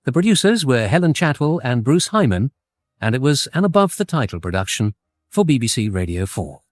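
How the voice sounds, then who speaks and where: A man's voice: mid-range voice, big pitch swings, fairly quick, no room sound. A man reading scripted broadcast credits as a radio announcer.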